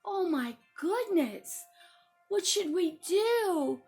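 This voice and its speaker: A woman playing a patient teacher who gently and deliberately coaches a child in a children's role-play story. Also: very high-pitched, lively intonation, soft background music, exaggerated voice. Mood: sympathetic. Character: patient, soothing adult teacher guiding upset child.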